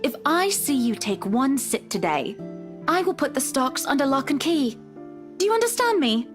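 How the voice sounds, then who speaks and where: Woman acting a high-voiced, bossy society wife. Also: very animated, moderate pace, music in the background. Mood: stern. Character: bossy, genteel wealthy wife. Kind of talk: visual novel game acting.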